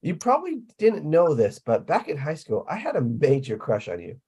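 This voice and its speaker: A man's voice: fairly high pitch, wildly swooping pitch, normal speed, warm tone, slightly rough, lo-fi sound, exaggerated voice. A man playing a geeky, vulnerable young older brother, awkward, hesitant and hopeful, in a sitcom table read. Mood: nervous, hopeful.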